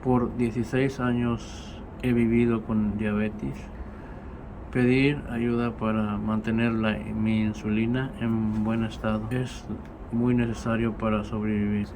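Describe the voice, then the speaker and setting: A man with a low, fairly even voice recites his own poem as a poet would at a reading. A clear hum, like air conditioning or traffic, runs behind him. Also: moderate pace. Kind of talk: spoken poetry reading.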